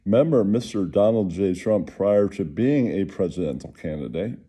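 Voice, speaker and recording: A man hosting with casual, rambling political commentary, halting and repeating himself, sometimes crude. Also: deep voice, animated, warm tone, slightly rough. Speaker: host.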